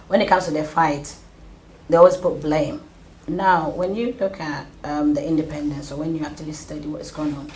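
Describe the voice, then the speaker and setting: A woman hosts the interview with a low voice and a chatty manner, often answering back with short affirmations. There is faint noise behind her. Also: low-quality recording. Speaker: interviewer, host. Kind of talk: conversational interview.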